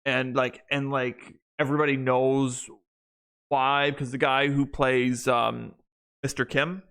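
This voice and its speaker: A man's voice: mid-range voice, flat delivery, unhurried, fairly bright tone, smooth. A young man co-hosting a podcast, chatting casually and rambling with many restarts and fillers. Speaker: podcast co-host. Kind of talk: casual chat.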